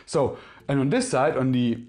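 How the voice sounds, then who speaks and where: A man's voice: medium pitch, big pitch swings, moderate pace, darkish tone. A man from Germany with a German accent and German as his first language, hosting a casual phone review in English, sounding a bit nervous and often correcting himself. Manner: nervous, self-correcting, friendly. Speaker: tech reviewer.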